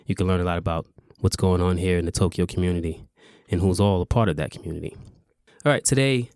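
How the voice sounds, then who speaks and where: A man hosting a casual podcast interview, upbeat and energetic, with some fillers. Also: low-pitched, dry recording, studio quality. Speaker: podcast host.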